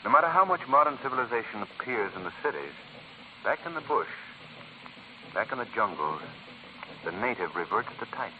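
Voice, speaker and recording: An actor playing a calm, amused, wealthy young man about town in 1940s radio style. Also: medium pitch, brisk, pauses often, close to an everyday voice. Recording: tinny, noisy 1940s radio recording.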